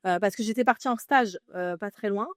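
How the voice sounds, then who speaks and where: A woman's voice: medium pitch, fairly quick, no echo, clean studio sound. A woman from France in her thirties, a podcast guest telling her story in a chatty way with many fillers and restarts. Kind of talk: casual interview conversation.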